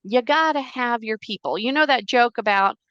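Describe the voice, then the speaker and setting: A middle-aged woman whose first language is Czech tells her story in an earnest, steady way. Her voice sits somewhat high. The recording is dry and close, with no room sound. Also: normal speed, smooth, no echo, highs cut off. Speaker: guest. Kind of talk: interview storytelling.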